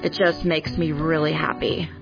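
A woman's voice: low voice, little pitch movement, normal speed, creaky. A woman debt counselor giving a sincere radio ad testimonial. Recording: lo-fi radio ad with music bed.

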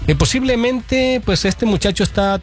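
A man's voice: sits high, lively intonation, moderate pace, faint music behind. A man co-hosting a sports talk show, reading viewer messages aloud and agreeing often with his partner. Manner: agreeable, frequent back-channel "ujú".

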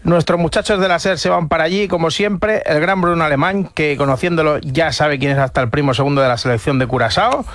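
A man's voice: on the high side, fairly quick, few pauses, a bit bright, dry recording. A man with an accent from Spain hosting a radio show in casual, joking banter. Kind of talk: casual comic banter and presenting.

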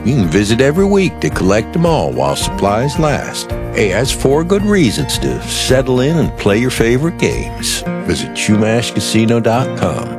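A man reading a radio commercial in a relaxed, friendly voice. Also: medium pitch, normal speed, few pauses, music in the background. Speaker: ad voice-over.

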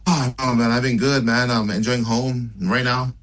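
A man's voice: mid-range voice, level tone, normal speed, fairly bright tone. A man from Hawaii, chatting easily as a musician guest over a video call. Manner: laid-back, easygoing, lots of fillers. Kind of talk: casual interview chat.

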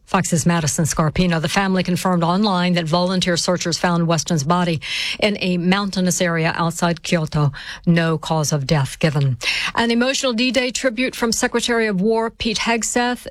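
A woman's voice: on the low side, normal speed, dry recording. A woman news anchor reading a scripted radio bulletin in a studio. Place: dry broadcast studio.

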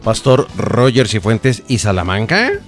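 Radio host, male, animated pitch, friendly questioning, soft music behind. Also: measured pace, creaky. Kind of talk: live radio interview.

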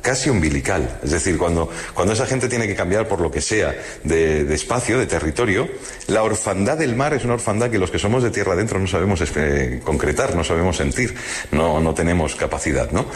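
A man's voice: deep voice, lively intonation, fairly quick. A man from Madrid with a Madrid accent, musing in a lyrical, literary way and repeating words for emphasis in a reflective radio conversation. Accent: Madrid Spanish. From Madrid, Spain. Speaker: guest commentator on literature.

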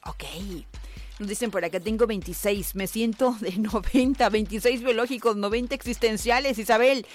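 A woman's voice: a little high, moderate pace. A woman, hosting a live radio show and reading out listener messages in a chatty way, often laughing and exclaiming. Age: 40s. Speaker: radio host. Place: radio studio. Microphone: studio microphone.

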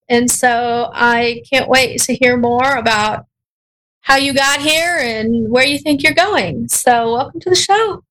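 A woman's voice: sits high, a bit bright, a little hiss or hum, rough recording. A woman hosting a radio interview, chatty and playful with her guest. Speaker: radio show host.

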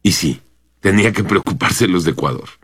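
A man's voice: deep voice, animated, fairly quick, husky, no echo, studio quality. A man with a Mexican accent hosting a morning news radio show, chatting with opinions and often checking that his co-host agrees.

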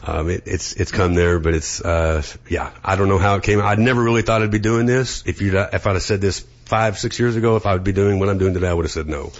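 Male guest, very deep voice, outgoing but hesitant delivery, dull lo-fi recording. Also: steady tone, quick pace, few pauses. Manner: hesitant, many fillers and restarts, outgoing. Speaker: guest, sports announcer. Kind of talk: casual interview chat.

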